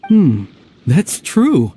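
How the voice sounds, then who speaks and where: Anxious, high-voiced young guard. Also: male voice, huge pitch swings, extremely slow, warm tone, breathy edge, soft background music, exaggerated voice. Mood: surprised. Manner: urgent, earnest. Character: earnest, anxious young low-ranking retainer guard. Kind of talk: video game voice acting.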